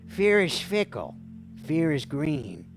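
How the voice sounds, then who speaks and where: A woman hosting a livestream call-in show as a mock therapist, dreamy, theatrical and goofy, with a gravelly voice. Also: deep voice, very animated, slow. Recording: music playing behind the voice. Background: background music.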